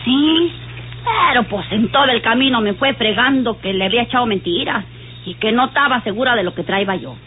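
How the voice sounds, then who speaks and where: A woman's voice: fairly high pitch, a little fast, lightly acted. Actress as sly country woman, tinny radio. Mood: feigned hurt. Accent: northern Mexican. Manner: colloquial country speech. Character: sly, scheming rustic woman. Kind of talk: old radionovela acting. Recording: noisy, tinny old radio recording.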